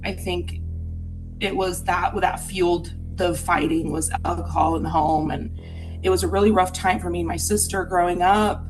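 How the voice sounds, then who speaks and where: A woman from Utah with a somewhat low voice tells a personal ghost story for a podcast. She sounds earnest and candid, reflective as she recalls it, with soft music faintly behind her. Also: fairly even intonation, normal speed, rough recording.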